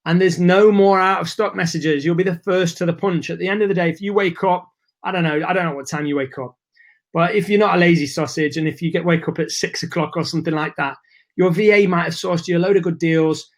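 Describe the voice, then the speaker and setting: A man's voice: sits high, fairly even intonation, brisk. A man hosting a live webinar, chatty and informal, losing his voice. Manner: chatty, informal, reads and answers chat messages. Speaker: webinar host.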